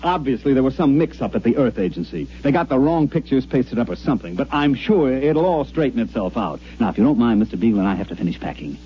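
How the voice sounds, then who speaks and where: Wry actor as a smooth-talking 1950s radio con man. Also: mid-range voice, animated, fast, hardly any pauses, slight background noise, natural voice. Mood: smooth bluffing. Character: glib fast-scheming male con man, wry storyteller. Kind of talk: 1950s radio drama acting with first-person narration. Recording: muffled 1950s radio broadcast with music.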